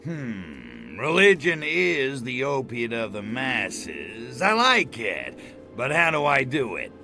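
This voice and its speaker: A man voicing a cocky, wisecracking little alien invader in a video game, sardonic and slangy with a gravelly voice. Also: mid-range voice, wildly swooping pitch, takes it slowly, pushed and bright, soft background music, exaggerated voice. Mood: wry. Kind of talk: video game cutscene acting.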